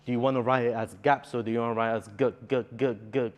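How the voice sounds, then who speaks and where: A man's voice: medium pitch, level tone, quick pace. A young man from Bulgaria, a native Bulgarian speaker, talking earnestly as a poet guest in a casual interview.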